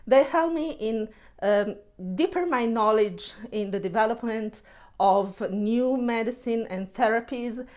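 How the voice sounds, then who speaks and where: A woman's voice: on the high side, a little mellow. A woman from Italy, giving an earnest testimonial and searching for words in places.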